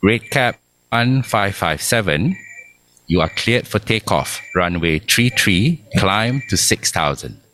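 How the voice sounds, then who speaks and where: A man's voice: lowish voice, lively intonation, slow pace, pushed and bright, a little hiss or hum. A middle-aged man speaking as a guest in a live radio interview, polite and obliging. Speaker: guest, aviation authority chief and former pilot. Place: air traffic control tower, live broadcast.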